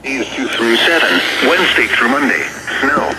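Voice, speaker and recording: A man's impersonal, measured voice reading a formal scripted weather bulletin, heard through a small radio speaker. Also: mid-range voice, big pitch swings, very noisy. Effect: played through a small radio speaker.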